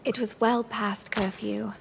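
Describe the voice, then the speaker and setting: A woman voicing a nervous young huntress in a video game, soft-spoken and hesitant. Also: medium pitch, level tone, measured pace, gravelly, noisy background. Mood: narrating. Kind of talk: video game narration and dialogue.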